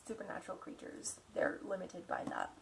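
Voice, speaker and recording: A woman's voice: moderate pace, a little hiss or hum. A woman hosting a video who reads a novel dramatically and breaks off for chatty asides full of fillers. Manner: chatty asides with fillers, theatrical when reading. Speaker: video host and reader. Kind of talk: casual commentary and dramatic reading.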